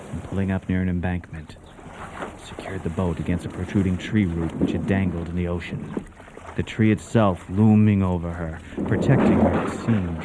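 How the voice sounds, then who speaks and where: An actor playing a grave storyteller, narrating a suspense tale for radio drama in a theatrical, deliberate manner. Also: male voice, sits low, heavy background noise, close to an everyday voice. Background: music.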